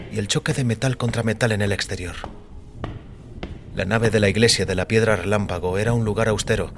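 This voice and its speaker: Low-voiced man narrating dramatic fiction. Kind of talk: scripted dramatic story narration in Spanish. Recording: music under the voice. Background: background music.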